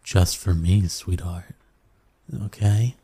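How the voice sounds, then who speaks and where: A man plays a seductive male incubus in an intimate ASMR roleplay. His voice is very low and dark, and he takes his time, purring slowly. He is smug and dominant, yet coaxing and soothing. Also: fairly even intonation, exaggerated voice. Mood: seductive, boastful. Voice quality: low, breathy, husky. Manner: slow, teasing, purring, coaxing with pet names.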